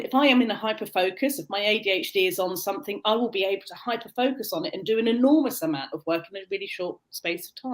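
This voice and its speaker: British woman, mid-pitch, earnest explainer, conversational, video-call sound. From Britain. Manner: earnest, explanatory, frequent restarts. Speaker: guest, neurodiversity coach. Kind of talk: conversational interview answers.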